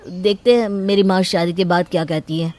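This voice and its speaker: A woman plays a young man engaged to be married in a dramatized story. The voice is on the low side for a woman, measured, evasive and mildly insistent. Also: fairly even intonation, noisy background, lightly acted. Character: evasive young male engineer fiancé, mother-obedient. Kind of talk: acted Hindi audio story.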